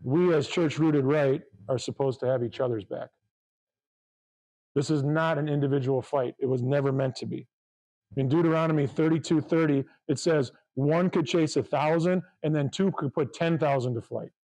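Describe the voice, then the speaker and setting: A man delivers a sermon with a loud voice and fervent conviction. His tone is warm and mellow, and he reads scripture aloud to his congregation. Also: a little high, normal speed, rough recording. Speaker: pastor.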